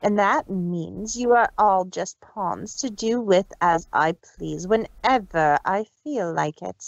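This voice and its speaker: A woman's voice: medium pitch, slow, creaky, no room sound, muffled recording. A woman voicing a cruel, arrogant big-sister mare villain in a dramatic story reading, taunting and condescending. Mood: gloating. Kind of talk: dramatic fan fiction reading.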